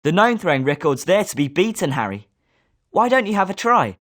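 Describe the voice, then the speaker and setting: Lowish, animated British schoolboy played by a woman. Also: a little fast. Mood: encouraging. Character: confident schoolboy wizard, sporting and competitive. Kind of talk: scripted video game character lines.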